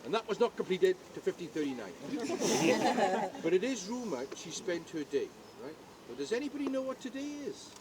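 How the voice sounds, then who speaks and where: A man's voice: sits high, very animated, moderate pace, rough recording. An older Welsh man giving a jokey, chatty guided tour outdoors at a historic castle, often asking the crowd questions.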